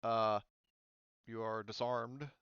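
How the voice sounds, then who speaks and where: A man's voice: on the low side, steady tone, takes it slowly, fairly bright tone, clear, resonant tone, dry recording, muffled recording. A man running a tabletop game as game master, casually narrating turns and rules, hesitantly thinking aloud as he goes.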